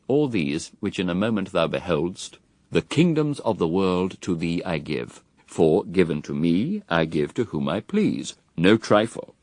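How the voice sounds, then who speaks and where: An actor playing Satan as a proud, cunning, persuasive fallen angel in a verse radio drama, speaking in a grand, measured, rhetorical manner. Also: male voice, low-pitched, no room sound, clean studio sound, full character voice. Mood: proud, imperious.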